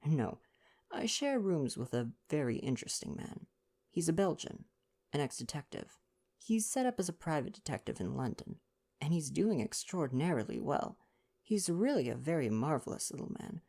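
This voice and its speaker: A woman's voice: sits low, sing-song, moderate pace, dark tone, heavily acted. A woman voicing a polite, reserved young man, an ex-soldier, in a read-aloud novel. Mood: admiring.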